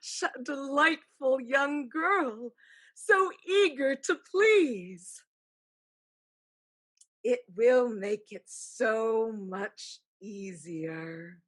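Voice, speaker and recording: A woman plays an otherworldly female ancestor spirit with a high voice and extremely slow delivery. She intones like a summoning chant, with a sorceress's scheming underneath, in theatrical stage style. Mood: sly, gloating. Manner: slow, incantatory, drawn-out calling.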